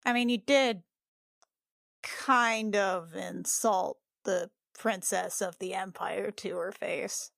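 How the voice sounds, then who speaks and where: A woman's voice: mid-range voice, fairly even intonation, slow pace, vocal fry, a bit bright, no echo. Woman in casual game chat, very dry recording. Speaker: player in a tabletop roleplaying game podcast. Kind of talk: casual improvised in-character chat.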